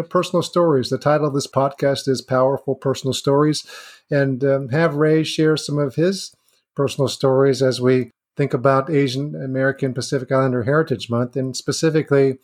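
A man's voice: medium pitch, a little mellow. Male host, casual interviewer who wanders through his questions. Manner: loose, rambling phrasing. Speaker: podcast host. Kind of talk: conversational interview.

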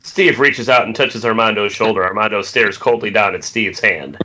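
A man's voice: fairly low pitch, moderate pace, bright, forceful tone, highs cut off, a little hiss or hum. A man narrating the stage directions of a screenplay at a table read over an internet call. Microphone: internet video call.

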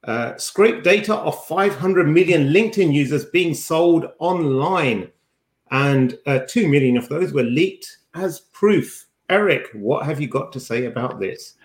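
A man's voice: on the high side, lively intonation, measured pace. A man hosting a podcast in casual banter, with a teasing, dryly joking way of talking. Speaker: podcast host. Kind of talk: casual banter on a news discussion show. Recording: roomy, echoey sound.

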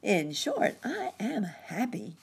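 A carefree ragged gentleman cricket out of a children's storybook, put on by a woman. The voice chirps high and cheerful, with a very husky edge and animated pitch. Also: slow, heavily acted. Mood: carefree, content.

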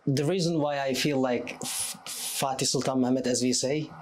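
A man's voice: medium pitch, fairly even intonation, normal speed. A man in his twenties from Turkey with a Turkish accent, speaking English as a podcast guest in a conversational way. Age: 20s. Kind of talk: conversational interview answers.

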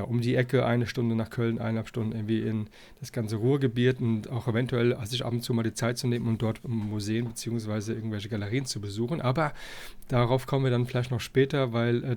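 Man hosting a podcast, halting and rambling. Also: mid-range voice, level tone, a little mellow. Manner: halting, wordy, circles around his point. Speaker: podcast host. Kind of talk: rambling, casual podcast introduction and interview.

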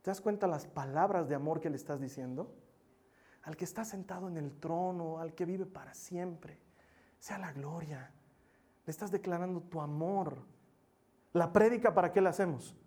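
A man who is a pastor, preaching a sermon in church. He repeats key phrases and swings from loud, emphatic peaks to soft, low passages. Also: normal speed, slightly rough. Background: music playing under the sermon.

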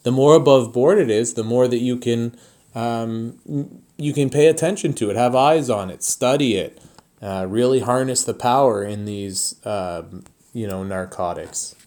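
A man hosting a solo podcast, speaking straight to listeners in a deliberate, halting way with pauses mid-thought. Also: mid-range voice, dark tone. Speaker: podcast host.

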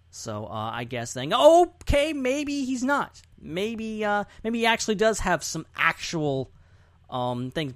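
Animated high-voiced man hosting a podcast. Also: no echo, clean studio sound. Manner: animated, chatty. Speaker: podcast host. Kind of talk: casual podcast chat.

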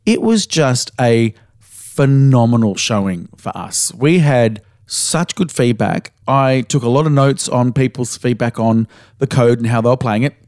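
An Australian man co-hosting a podcast, slightly hoarse as he recovers his voice, telling stories in a frank, casual way with the odd swear word. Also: medium pitch, animated, a little slow, darkish tone, no room sound, studio quality. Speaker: podcast co-host.

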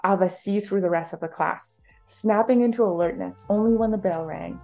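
A woman's voice: mid-range voice, moderate pace, creaky, darkish tone, faint music behind. A woman author reading literary prose aloud from her own novel in a narrative style.

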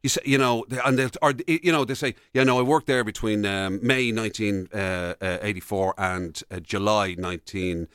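A man's voice: lowish voice, moderate pace, hardly any pauses, fairly bright tone, clear, resonant tone, no room sound, studio quality. An Irish man co-hosting a radio show, chatting casually with a wry, teasing manner. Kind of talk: casual comic banter.

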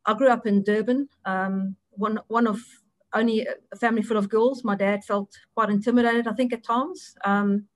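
A woman's voice: mid-range voice, steady tone, normal speed, dry recording. South African woman, hesitant and reflective. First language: Afrikaans. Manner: hesitant, many restarts and ums. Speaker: interview guest, audit partner. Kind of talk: reflective interview answers.

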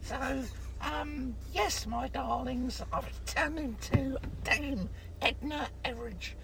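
A man's voice: very high voice, takes it slowly, creaky, noisy background, heavily acted. A man putting on a camp, dotty old celebrity with a wandering Birmingham accent, rambling theatrically through a comic voicemail. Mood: camp, embarrassed. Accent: Brummy (Birmingham), wandering. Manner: theatrical, rambling, mock-scandalised.

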